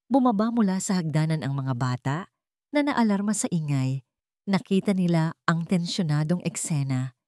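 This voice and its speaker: A woman's voice: sits low, a little mellow, dry recording. Woman narrating dramatic fiction, dry studio sound. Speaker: story narrator.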